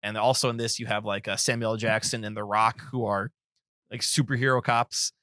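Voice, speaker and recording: A man's voice: on the low side, little pitch movement, fairly quick, bright, forceful tone, no echo, clean studio sound. A man co-hosting a film podcast, giving his opinions in a casual, conversational way.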